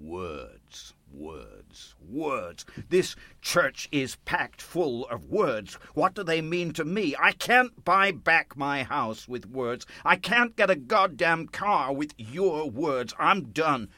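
A desperate, sardonic man in a radio play. His voice is forceful and sharp, swinging widely in pitch as he presses and manipulates. The sound is very dry, with no room to it. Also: big pitch swings, slow pace, hardly any pauses, no echo, heavily acted. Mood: bitter, resigned. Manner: insistent, sardonic, emotionally volatile. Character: desperate, bitter man pleading and manipulating his pastor for money.

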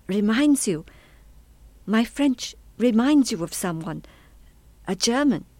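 Wistful German woman, played by an actress, reflective and hesitant, higher-set voice, dry studio radio drama. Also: expressive, a little mellow, no room sound. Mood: polite, curious. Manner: reflective, halting, trailing into reverie. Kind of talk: radio play acting.

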